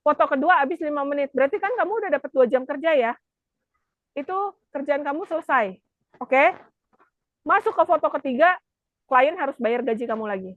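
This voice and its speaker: A woman's voice: high-pitched, animated, fairly quick, long pauses, no echo, narrow, tinny audio. A woman, explaining things informally as a class instructor with frequent check-in questions. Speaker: virtual assistant class instructor. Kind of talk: informal teaching, explaining with examples.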